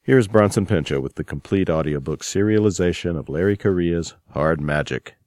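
A male announcer introducing a serialized audiobook in a deliberate, dramatic read. His voice is extremely deep and the recording is dry and close. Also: a little mellow, no echo. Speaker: radio announcer. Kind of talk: scripted announcement.

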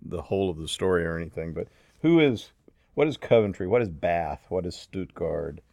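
A man's voice: deep voice, animated, normal speed, vocal fry, darkish tone, no echo, studio quality. A man hosting a panel conversation, asking questions in a casual, hesitant way. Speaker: interviewer.

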